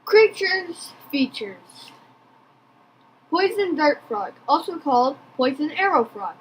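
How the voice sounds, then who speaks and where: A woman reading a fact file aloud in a deliberate announcing manner, item by item. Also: high voice, very animated, a little hiss or hum, rough recording.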